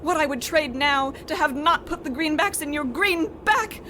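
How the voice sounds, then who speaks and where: A woman's voice: high voice, moderate pace, bright, forceful tone. A woman playing an exasperated, wordy wife in a mock old-time radio comedy, arch and melodramatic. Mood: lamenting. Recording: clear background noise.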